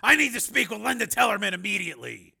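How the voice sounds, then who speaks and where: A man voicing an angry, commanding renegade police lieutenant in an audiobook, with forceful, clipped, demanding delivery. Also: high voice, very animated, normal speed, vocal fry, slightly rough, voice pushed up, heavily acted. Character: defiant male cyborg police lieutenant on the run, commanding, angry.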